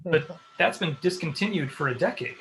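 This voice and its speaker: A man's voice: on the high side, lively intonation, moderate pace, fairly bright tone, a little hiss or hum. A man playing a mild, naive boyfriend in a comedy table read. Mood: earnest. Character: mild, naive boyfriend, former preschool teacher.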